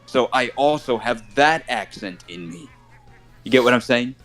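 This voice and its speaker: A man's voice: mid-range voice, lively intonation, unhurried, fairly bright tone, faint music behind. A man with a mixed Colombian Spanish and Brooklyn accent, a native Spanish speaker, chatting casually while gaming and rambling with lots of "you know" fillers. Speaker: tournament host.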